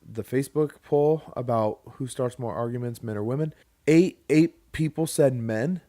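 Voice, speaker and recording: A man hosting a podcast in casual conversation, speaking in a halting, hesitant way with dry joking. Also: medium pitch, dark tone. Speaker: podcast host.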